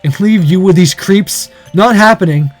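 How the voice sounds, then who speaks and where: Visual novel boy hero, male reader: high voice, defiant, cocky. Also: normal speed, faint music behind, lightly acted.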